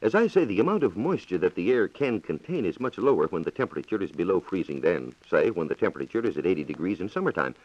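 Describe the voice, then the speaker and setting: Deep-voiced 1940s American radio science man. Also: very animated, quick pace, few pauses, breathy edge. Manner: formal, precise explaining. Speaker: science reporter on a radio program. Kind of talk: 1940s radio science talk, scripted explanation. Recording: dull, echoey 1940s radio recording.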